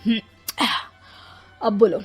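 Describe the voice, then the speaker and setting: A woman's voice: takes it slowly, dull audio, faint music behind, lightly acted. A woman voicing a grumpy, tired husband and father in a story, complaining and dismissive.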